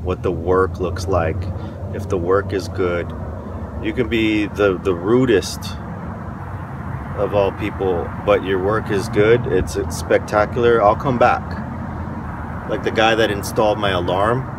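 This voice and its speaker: Low-voiced guy, stuffy and congested, rambling vlog rant from his van, loud wind and traffic outside. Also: fairly even intonation, measured pace. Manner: rambling, frank, stop-start. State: stuffy nose, sore throat. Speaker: van life vlogger. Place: parked van outdoors, windy lot. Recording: outdoor wind and traffic noise.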